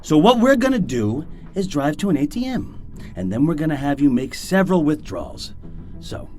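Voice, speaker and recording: An actor playing a volatile, menacing armed carjacker in an audio drama, sneering, swearing and erratic. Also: male voice, on the high side, sing-song, moderate pace, darkish tone. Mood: menacing, sarcastic.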